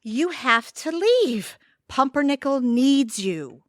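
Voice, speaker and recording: A woman's voice: high-pitched, big pitch swings, takes it slowly, no room sound, clean studio sound, exaggerated voice. A woman acting a polite but evasive bookstore clerk in a comedic audio play, easily flustered. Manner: courteous but guarded.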